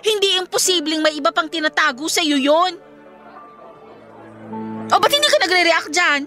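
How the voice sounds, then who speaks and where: A woman's voice: very high-pitched, animated, normal speed, pushed and bright, exaggerated voice. A woman with a Filipino accent playing a blunt, exasperated best friend in a radio drama, scolding and emphatic. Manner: scolding, emphatic, straight-talking. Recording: music playing behind the dialogue.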